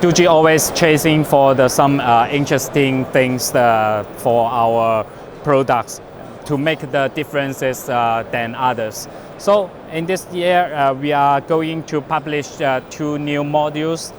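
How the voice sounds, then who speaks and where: Man, non-native English, mid-pitched, hesitant product presenter, broken phrasing, noisy trade show recording. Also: steady tone, unhurried. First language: not English. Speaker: company representative presenting a product. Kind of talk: unscripted product demonstration.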